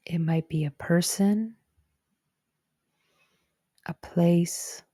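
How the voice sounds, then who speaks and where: A woman narrating a guided meditation in a gentle, calm and soothing way, with soft breathy phrase endings. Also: lowish voice, extremely slow, dry recording, clean studio sound. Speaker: psychologist guiding a meditation.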